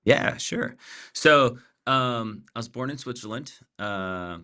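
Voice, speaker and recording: A man's voice: medium pitch, slow, pushed and bright, smooth. A man in his forties from Pakistan, a podcast guest talking casually with many fillers and restarts. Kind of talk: casual interview chat.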